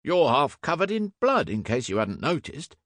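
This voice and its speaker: A young Victorian constable, voiced by a male audiobook reader, stern and sardonic. He speaks in a raised pitch with pointed disdain, in a dry recording. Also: very animated, moderate pace, a bit bright, no echo.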